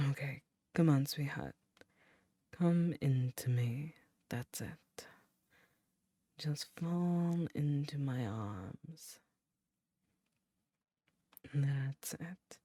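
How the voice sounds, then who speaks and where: A woman voicing an ancient, seductive yet motherly female demon queen, teasing and then gently nurturing in soft, intimate whispers for an ASMR roleplay. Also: low voice, no room sound, clean studio sound. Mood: soothing.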